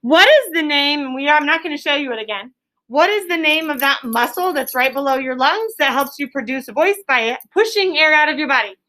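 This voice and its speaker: A woman teacher leading an interactive lesson for young children, encouraging them with praise and explaining in simple, deliberate terms. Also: high voice, expressive, bright, forceful tone, low-quality recording. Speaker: elementary school teacher. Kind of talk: interactive classroom lesson with questions. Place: classroom.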